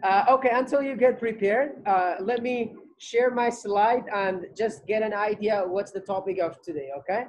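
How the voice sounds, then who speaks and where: A man's voice: high-pitched, normal speed, hardly any pauses, darkish tone, low-quality recording. A young adult man teaching an English class over a video call from home, talking loosely with many fillers and repeats. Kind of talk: casual online class talk.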